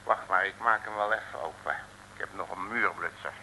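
Brash, very bright-voiced excitable radio detective. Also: male voice, low-pitched, brisk, gravelly, heavily acted. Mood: hearty, wry. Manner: blustery, slangy, stammering repeats when excited. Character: brash, excitable male detective, slangy rough diamond. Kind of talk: 1950s comic radio serial acting. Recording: old noisy radio recording.

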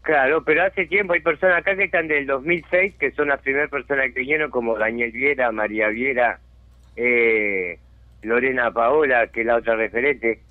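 A man's voice: medium pitch, moderate pace, no room sound. Uruguayan man, community spokesman, insistent and indignant, phone-quality sound. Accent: Uruguayan Spanish. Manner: insistent, indignant, checks understanding often. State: has a chronic lung disease. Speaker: settlement resident and community spokesman. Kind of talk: phone interview on radio. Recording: dry tinny phone line.

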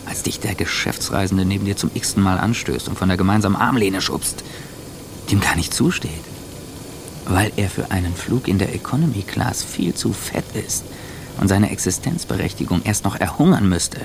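A bitter, anxious man seething through a radio-play monologue. His low voice is gravelly and full of sardonic contempt, with faint ambient noise behind it. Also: moderate pace. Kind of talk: dramatic second-person interior monologue, radio play.

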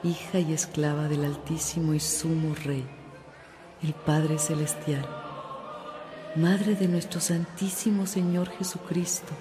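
A woman's voice: low-pitched, fairly even intonation, slow pace, music in the background. An actress with a Mexican accent playing a loving, devout mother in a radio drama, tender and reverent. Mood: prayerful.

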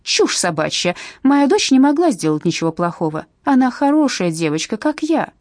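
A woman narrator voicing a distraught imprisoned mother who pleads and flares up indignantly, her voice raised and higher than her own. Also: a little fast, dark tone, breathy edge, no echo, studio quality, lightly acted. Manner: pleading, shrill outbursts.

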